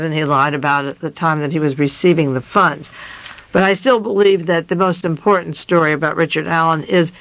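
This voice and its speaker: A woman's voice: lowish voice, no room sound. A middle-aged woman giving informal, opinionated radio news commentary in a matter-of-fact way.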